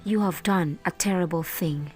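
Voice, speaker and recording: A woman's voice: lowish voice, normal speed, darkish tone, faint music behind, close to an everyday voice. A woman voicing God as a stern yet protective authority in a children's Bible story, solemn and declarative.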